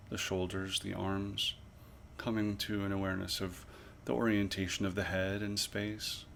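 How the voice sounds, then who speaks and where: Low, gravelly-edged man guiding meditation. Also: a little hiss or hum. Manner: measured, pausing, gently instructive phrasing. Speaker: mindfulness meditation instructor. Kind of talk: guided body scan meditation.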